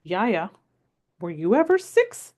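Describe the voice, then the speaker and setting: A woman's voice: huge pitch swings, slow, darkish tone. A woman doing an eager little girl. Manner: high-pitched, eager questioning. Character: curious excited six-year-old girl. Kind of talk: read-aloud storytelling.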